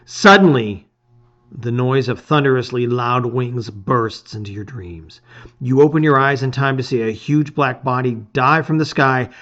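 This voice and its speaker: Bright-voiced man reading a story aloud. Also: medium pitch, unhurried, highs cut off. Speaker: host reading a gamebook aloud. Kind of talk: dramatic storybook narration with casual asides.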